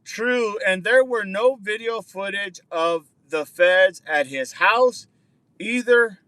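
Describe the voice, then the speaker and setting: A man with a high voice hosts a chatty livestream outdoors, greeting viewers by name and answering their comments. He repeats words for emphasis. The sound is close and dry, with no room echo. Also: slow, pushed and bright. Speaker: livestream host.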